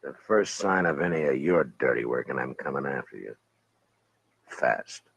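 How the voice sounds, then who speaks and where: Deep gruff frontier marshal. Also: male voice, animated, moderate pace, a bit bright, exaggerated voice. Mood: stern warning. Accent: American. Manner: gruff, terse, authoritative. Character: stern, weary frontier US marshal in the Old West. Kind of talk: golden age radio Western acting. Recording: muffled old radio recording.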